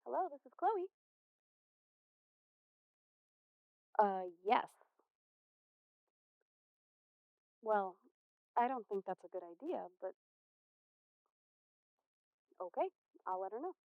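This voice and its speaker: A woman playing a caring, patient high school counselor in a stage play, speaking in a gentle, earnest, measured way. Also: big pitch swings, no echo. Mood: hesitant. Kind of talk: amateur stage play acting. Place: theater stage. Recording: muffled, dull stage recording.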